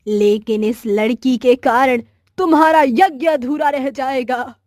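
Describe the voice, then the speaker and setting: A woman voicing a secretive old village woman in a cartoon story, in a measured, guarded way. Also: sits high, a little mellow, no echo, studio quality. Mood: firm. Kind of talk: Hindi cartoon story voice acting.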